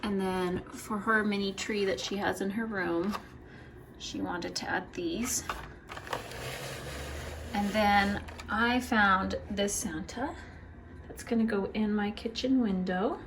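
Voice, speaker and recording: Middle aged lady doing a casual vlog, takes her time talking, thinks out loud, noticeable background noise. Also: mid-range voice, steady tone, slow pace, pauses often, reverberant. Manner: unscripted, thinking aloud while working. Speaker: vlogger showing family outings and crafts. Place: car, store, home kitchen and craft room.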